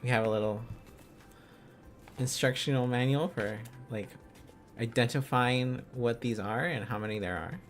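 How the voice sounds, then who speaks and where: A man's voice: medium pitch, normal speed, long pauses, soft background music. A man livestreaming in a casual, playful way, thinking aloud as he chats to viewers. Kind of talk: casual livestream study chat.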